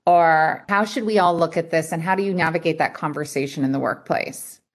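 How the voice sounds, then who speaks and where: A woman's voice: low voice, brisk. A woman interviewing someone in a conversational workplace style.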